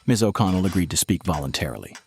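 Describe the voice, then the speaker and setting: Calm, steely small-town sheriff. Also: male voice, deep voice, creaky, breathy edge, exaggerated voice. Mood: defensive. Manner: measured, probing, terse. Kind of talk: noir audio drama interrogation.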